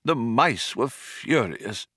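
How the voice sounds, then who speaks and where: A man's voice: medium pitch, big pitch swings, takes it slowly, bright, forceful tone, breathy edge, no echo, clean studio sound, exaggerated voice. A man voicing a polite, mild old alien planet builder, proud and cheerful, in an audiobook. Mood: patient. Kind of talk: audiobook character voice.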